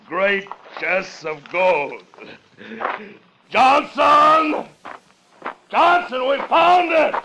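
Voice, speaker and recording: An actor playing a gruff sea captain driven mad by gold and fever, with harsh barking lines in 1940s radio acting. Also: male voice, very high voice, expressive, extremely slow, long pauses, background noise, full character voice. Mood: frantic. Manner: gruff, turning to manic shouting. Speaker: narrator and lead.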